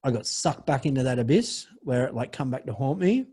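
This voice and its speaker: A man's voice: medium pitch, sing-song, a little fast, warm tone, breathy edge. A man as a podcast guest, telling a candid personal story in a profane, rambling way with many restarts.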